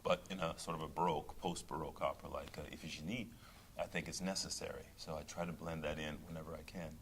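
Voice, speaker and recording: Very deep-voiced man in casual interview. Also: steady tone, quick pace, a bit bright, a little hiss or hum. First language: English. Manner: thoughtful, with many restarts and fillers. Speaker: opera singer, interview guest.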